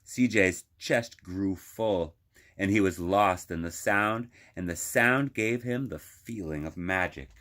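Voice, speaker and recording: Deep-voiced man reading a picture book. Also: slow, fairly bright tone. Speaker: children's librarian reading aloud. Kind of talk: expressive picture-book narration.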